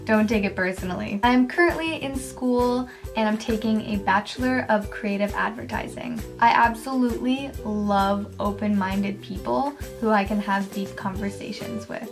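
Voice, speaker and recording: A woman of twenty with a naturally quiet, soft voice, chatting casually to the camera in her bedroom for a facts-about-me vlog, filling her talk with "like" and the odd laugh. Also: mid-range voice, moderate pace, hardly any pauses. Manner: chatty, filler-heavy, laughs often. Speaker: beauty vlogger. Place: bedroom, indoors, small. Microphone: camera at close range, facing it. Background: background music.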